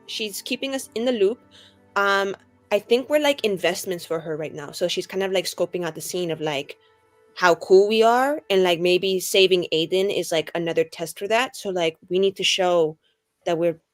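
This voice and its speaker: A woman's voice: mid-range voice, moderate pace, faint music behind, natural voice. A woman playing a bubbly, vain, scatterbrained young adventurer in a tabletop roleplay, rambling breezily with lots of "like". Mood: earnest, chatty. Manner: rambling, heavy use of "like", breezy.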